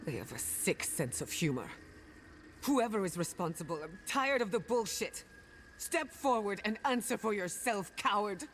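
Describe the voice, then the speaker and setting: A woman's voice: medium pitch, expressive, moderate pace, a little hiss or hum, lightly acted. An actress playing a haunted, defiant woman in a video game, intense and emotional in her delivery. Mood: distressed, angry. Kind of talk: video game dramatic dialogue.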